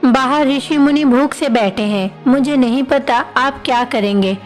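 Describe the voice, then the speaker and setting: A woman's voice: high voice, unhurried, soft background music. A woman playing a stern mother goddess, scolding and commanding, in devotional storybook dialogue.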